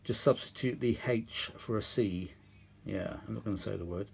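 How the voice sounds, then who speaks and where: A man's voice: lowish voice, normal speed, creaky, slight background noise. Chatty, rambling man at a workbench. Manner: chatty, rambling, matey, with tag questions like "eh". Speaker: vintage audio repair hobbyist and video host. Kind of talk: casual hands-on workbench commentary. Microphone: phone held or propped at the workbench.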